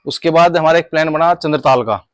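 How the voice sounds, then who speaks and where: A man's voice: fairly high pitch, brisk, no echo, studio quality. A man chatting casually as a motorcycle travel vlogger, conversational and addressing viewers like brothers. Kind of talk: casual vlog chat.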